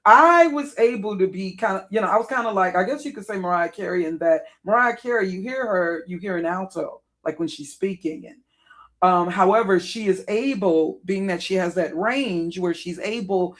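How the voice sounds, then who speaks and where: Raspy deep-voiced woman in her fifties. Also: moderate pace, a bit bright. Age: 50s. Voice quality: deep contralto voice; worn, raspy voice. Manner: rambling and digressive, with frequent self-corrections. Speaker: vlogger. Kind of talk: casual personal storytelling to camera.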